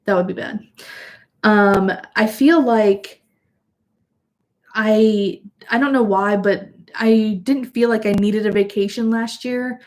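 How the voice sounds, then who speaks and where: A woman's voice: medium pitch, steady tone, slow, a little mellow. Chatty sniffly young woman livestreaming. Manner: chatty, rambling, with fillers and restarts. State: sniffly from allergies. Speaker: vlogger hosting a livestream. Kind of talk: casual livestream chat. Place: at home indoors.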